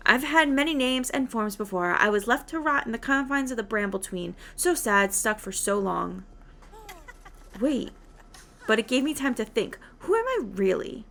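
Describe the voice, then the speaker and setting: Woman acting a cutesy little-girl chaos villain, high-voiced. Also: lively intonation, moderate pace, vocal fry, a bit bright, a little hiss or hum. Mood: taunting. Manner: mock-innocent, taunting.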